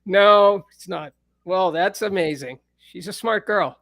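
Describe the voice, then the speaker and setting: A man with a high speaking voice in a casual, playful, teasing chat as he hosts another musician. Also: a little slow, gravelly, fairly bright tone, no echo, clean studio sound.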